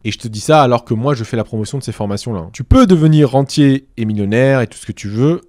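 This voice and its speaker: A man's voice: mid-range voice, quick pace, darkish tone, no room sound, clean studio sound. A man in his thirties hosting a real estate training channel, giving direct advice to camera in a persuasive way, speaking straight to the viewer and using rhetorical questions. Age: 30s.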